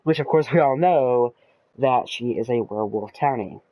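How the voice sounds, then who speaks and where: A man chatting casually about a video game as a YouTuber, rambling and excitable with lots of ums and uhs and some swearing. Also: mid-range voice, normal speed, dry recording, low-quality recording. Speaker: gaming YouTuber.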